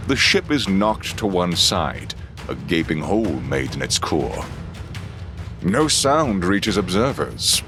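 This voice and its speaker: A man performing measured, solemn dramatic narration of a space battle for a sci-fi audio drama. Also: deep voice.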